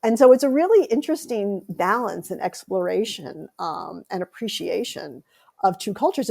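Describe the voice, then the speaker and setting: A middle-aged American woman talks through her ideas as a podcast guest. Her voice has a gravelly, creaky texture at a medium pitch. She pauses often and restarts her sentences as she thinks. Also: dark tone. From United States. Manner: thoughtful, many restarts and ums. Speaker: author and guest. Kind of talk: casual podcast interview.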